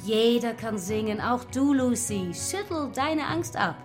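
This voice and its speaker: An actress playing a relentlessly cheerful Dutch singing teacher in a children's radio play, gushing and sing-song, with a Dutch accent. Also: female voice, a little high, animated, a little slow. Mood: bubbly, coaxing. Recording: music behind the voice.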